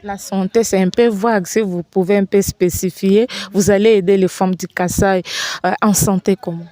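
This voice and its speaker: A woman's voice: mid-range voice, fairly even intonation, moderate pace, warm tone, no room sound. A woman hosting a TV interview in a studio, asking formal, wordy questions with many fillers. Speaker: TV host. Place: TV studio set.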